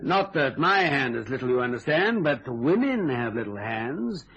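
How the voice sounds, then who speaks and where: Husky, swooping, sly inspector. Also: male voice, medium pitch, sing-song, a bit bright, dull audio, exaggerated voice. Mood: theatrical, menacing. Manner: wordy, theatrical, needling, deliberate repetition. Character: sly, insinuating, quirky police inspector. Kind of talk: radio thriller acting.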